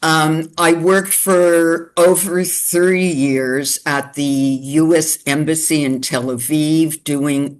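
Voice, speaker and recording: An older woman with a Canadian accent, giving a conversational webinar presentation with frequent hesitations. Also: rumbling low. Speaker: guest speaker.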